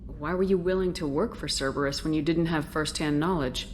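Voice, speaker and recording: Woman as a brisk, low-voiced military commander. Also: slight background noise, close to an everyday voice. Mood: firm, businesslike. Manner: direct, probing. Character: firm, businesslike female military commander. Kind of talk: video game dialogue.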